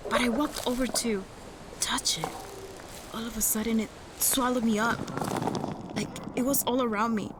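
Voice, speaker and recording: An actress playing a shaken, dazed woman haltingly recounting a strange encounter in an audio drama. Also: on the high side, noisy background, close to an everyday voice. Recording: audio drama clip over loud sound effects. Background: loud ambient sound design.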